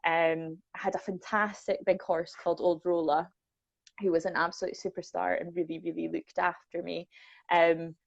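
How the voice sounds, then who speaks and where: A woman's voice: fairly low pitch, flat delivery, normal speed, creaky, no room sound. A young Scottish woman from the Borders, a welfare manager chatting casually and self-deprecatingly with lots of ums. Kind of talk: casual chat. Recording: dull lo-fi sound.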